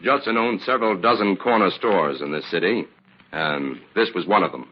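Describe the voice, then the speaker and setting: Low, husky radio detective. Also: male voice, moderate pace. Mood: grave, thoughtful. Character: steady, sharp-minded male crime investigator. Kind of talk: 1940s radio crime drama acting. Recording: muffled old-time radio recording.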